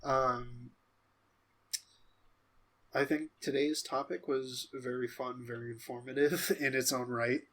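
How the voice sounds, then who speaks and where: A man's voice: medium pitch, flat delivery, slow pace, long pauses, a bit bright, poor audio. A man co-hosting a pop culture podcast, talking casually in an informal discussion. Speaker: podcast co-host.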